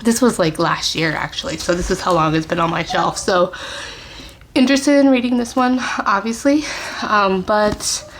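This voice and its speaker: A woman talks casually to her viewers about her reading plans at an everyday, mid-range pitch. She chats loosely, often breaking into soft laughs and dropping into whispered asides. A faint hiss sits under the recording. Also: normal speed, low-quality recording. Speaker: book vlogger. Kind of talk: casual chat to camera. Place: at home, family in another room.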